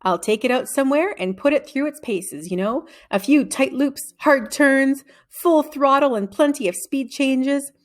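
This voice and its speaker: A woman's voice: high-pitched, a little slow, few pauses, voice pushed up. A woman voicing a confident, insistent schoolboy in a children's story, earnest and assertive. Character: confident, insistent schoolboy who takes charge.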